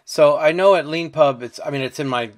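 A man's voice: on the high side, fairly quick. A man hosting a conversational interview, easygoing and prompting his guest with tag questions. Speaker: interviewer, podcast host.

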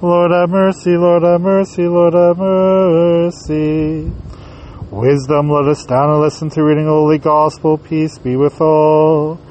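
A man leading a church service as priest, chanting prayers in a slow, drawn-out way and then reciting the gospel more briskly. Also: on the high side, flat delivery, a little mellow, a little hiss or hum, rough recording. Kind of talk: chanted liturgy and gospel reading.

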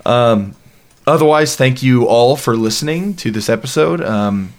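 A man's voice: medium pitch, measured pace. A man in his late twenties hosting a gaming podcast, chatting casually with lots of "you know" and laughter. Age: 20s. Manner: animated, laughs often, many fillers. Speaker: podcast host. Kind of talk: casual chat.

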